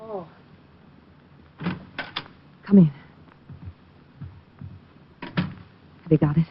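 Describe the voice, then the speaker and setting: A young showgirl, brisk and suspicious, speaks in a husky voice. The sound is dry and muffled, like old radio. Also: on the low side, dry recording, full character voice. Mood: impatient, wary. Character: impatient twenty-eight-year-old blonde showgirl, wary and brisk. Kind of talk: 1950s radio drama acting.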